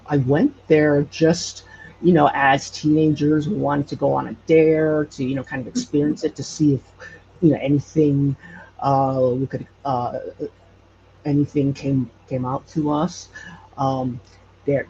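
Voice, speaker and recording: Halting man with a gravelly, creaky voice. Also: medium pitch, a little mellow. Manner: halting, hesitant, searching for words. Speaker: podcast guest telling local legends. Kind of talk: casual storytelling in an interview. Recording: dull lo-fi audio, faint noise.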